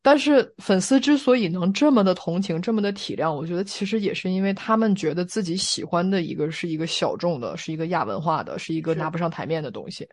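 A woman's voice: on the low side, animated, fast, few pauses, a little mellow. A woman podcast host talking casually in a reflective, explanatory way during a discussion.

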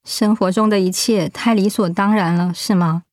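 Actress as gentle middle-aged woman, dry sound. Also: mid-range voice, fairly even intonation, normal speed, no echo, close to an everyday voice. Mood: concerned. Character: kind, attentive middle-aged well-off housewife, old friend. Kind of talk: radio drama acting, conversational.

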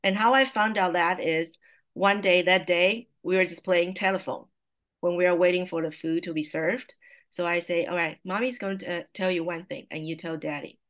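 Taiwanese-accented woman, chatty and low. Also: fairly even intonation, moderate pace, fairly bright tone. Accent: Taiwanese Mandarin. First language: Mandarin. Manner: chatty, laughs often, self-correcting. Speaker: guest, Mandarin teacher and mother. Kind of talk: casual podcast interview.

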